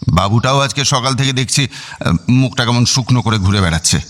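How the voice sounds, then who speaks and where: A man's voice: mid-range voice, a little fast, fairly bright tone, close to an everyday voice. A man acting a stingy, suspicious middle-aged father in a radio drama, grumbling and hesitating as he talks. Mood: wary, grumbling.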